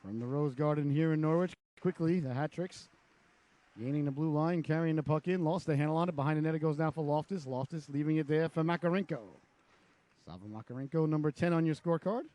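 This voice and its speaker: A man with a raspy voice from a cold, calling live hockey play-by-play with chatty asides. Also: on the high side, dark tone, no room sound. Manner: chatty asides between rapid calls. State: hoarse from a cold. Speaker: play-by-play announcer.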